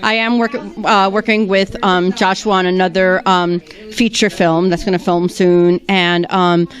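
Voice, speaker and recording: A woman actress answering red carpet questions, hesitant, with many pauses and restarts. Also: fairly low pitch, flat delivery.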